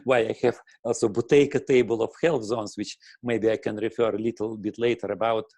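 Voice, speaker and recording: A middle-aged man from Russia with a Russian accent, a guest answering questions in halting speech full of fillers and restarts, often clearing his throat. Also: on the low side, steady tone, a little mellow, dry recording. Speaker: guest, breathing teacher. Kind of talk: casual interview answer.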